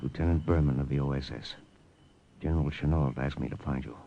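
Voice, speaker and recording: A man's voice: a bass voice, steady tone, a little fast, creaky. An American actor in an old radio drama playing a tough, determined intelligence lieutenant who also narrates his own story. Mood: low, urgent. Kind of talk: 1940s-50s radio drama acting and first-person narration. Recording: muffled, faintly noisy old radio recording.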